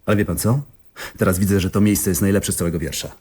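A man playing an earnest, eccentric poet in a radio comedy, husky, hesitant and excitable, flustered yet proud of his verse. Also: low voice, quick pace. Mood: excited, pondering. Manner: hesitant, excitable, musing aloud. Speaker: actor in a radio play. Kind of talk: theatrical radio comedy dialogue.

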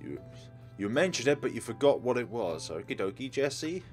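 A man's voice: mid-range voice, very animated, moderate pace. A man hosting a let's play, reading game text aloud and commenting on it. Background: game music.

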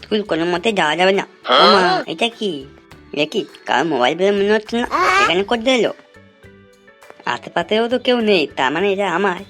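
Woman doing a cheeky village kid for a cartoon, curious, talks fast, music in back. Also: mid-range voice, expressive, fairly bright tone, close to an everyday voice. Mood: scheming, gleeful. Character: cheeky, mischievous village schoolboy in a comic cartoon. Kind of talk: cartoon voice acting.

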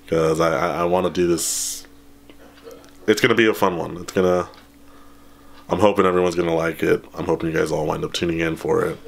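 A man in his thirties, slightly hoarse after being sick, chatting casually and rambling with lots of ums and laughs, holding a camera at arm's length in a small room. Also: deep voice. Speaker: channel host. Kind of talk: casual update vlog. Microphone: handheld camera at arm's length.

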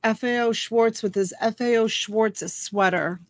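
A woman's voice: a little high, steady tone, no echo. Chatty woman hosting a live auction. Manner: chatty, folksy, slowing down to draw out bids. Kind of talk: casual live-stream auction chat. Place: home room full of plush toys and a dress form. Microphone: webcam on a computer.

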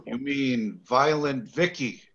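Slow deadpan man, dull sound. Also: medium pitch. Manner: slow, laid-back deadpan delivery. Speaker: actor reading a prison guard. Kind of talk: table read acting.

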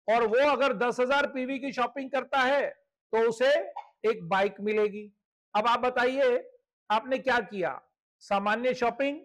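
Very high-pitched Indian man presenting. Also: a bit bright, rough recording. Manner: explanatory, step-by-step, mixes in English terms. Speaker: presenter of a membership scheme. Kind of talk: promotional slide presentation.

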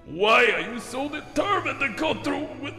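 Volatile skeleton monster for a comic dub: snarling, menacing, high and swooping pitch. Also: male voice, big pitch swings, normal speed, sharp tone, heavily acted. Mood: anguished. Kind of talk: dramatic comic dub acting. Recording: echoey hall reverb, music behind.

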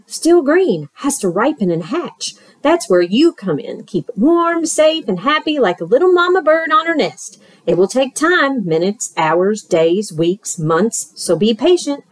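A pushy, fast-talking fox from a children's storybook, sly and full of sales patter, voiced by a woman reader. His voice sits high above her own and swings up and down with eager energy. The sound is dry and close to the mic. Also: big pitch swings, no room sound. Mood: pushy, salesy. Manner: rapid huckster sales pitch.

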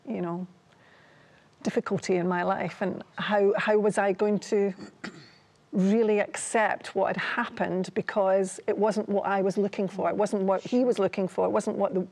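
A woman's voice: mid-range voice, little pitch movement, normal speed, a little mellow. A woman in her sixties with a Scottish accent, sharing personal testimony as a guest on a studio talk show, in a conversational, hesitant way. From Ayr, Scotland. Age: 60s. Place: TV studio, seated at a table.

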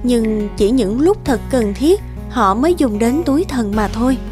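A woman's voice: a little high, animated, measured pace. A woman narrating a scripted fairy tale for a cartoon. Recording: music under the voice. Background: background music.

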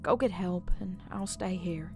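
A woman's voice: on the low side, a little slow, music in the background. A woman voicing an injured, frightened, weary female country cartoon pony, subdued and folksy, in a horror story. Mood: resigned.

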